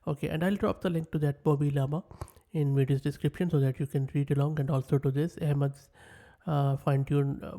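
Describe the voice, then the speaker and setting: A man who is a native Urdu speaker, presenting a software tutorial in a methodical way and narrating each step as he types. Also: fairly high pitch. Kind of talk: screen-share software tutorial.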